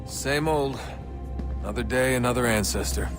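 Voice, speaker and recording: A man playing a weary, guarded young American assassin hero in a video game cutscene, speaking in a plain, low-key way. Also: moderate pace, music in the background, close to an everyday voice. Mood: weary, doubtful.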